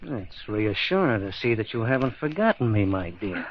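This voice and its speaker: An actor playing a cold, smooth-talking middle-aged husband with murderous intent in an old-time radio mystery, speaking in a measured, formal way. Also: male voice, lowish voice, very animated, a little hiss or hum. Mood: coolly menacing. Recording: dull lo-fi old radio recording.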